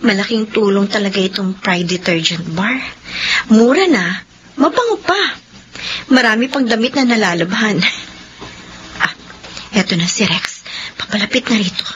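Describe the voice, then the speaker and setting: A woman's voice: mid-range voice, expressive, normal speed, pauses often, a bit bright, dull audio, a little hiss or hum, close to an everyday voice. A woman playing a weary, exasperated elder sister in a radio drama, earnest and reproachful, with a Filipino accent. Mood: relieved.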